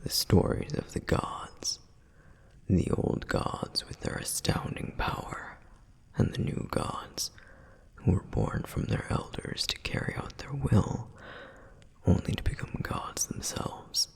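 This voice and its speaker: A man voicing a protective, reverent flame demon in an ASMR roleplay, speaking in a breathy, husky whisper with measured, gentle explanations and frequent pauses. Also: deep voice, wildly swooping pitch, heavily acted. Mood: reverent, fascinated. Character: male flame demon, protective, reverent, softly flirtatious lore guide. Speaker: audio roleplay performer. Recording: long reverberant hall echo.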